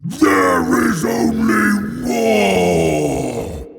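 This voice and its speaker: An actor in an audio drama playing a huge, brutal, scornful winged demon lord who bellows slowly. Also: male voice, sits high, huge pitch swings, very noisy, heavily acted. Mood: raging. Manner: slow, bellowing, contemptuous. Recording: long cavernous reverb, faint music behind.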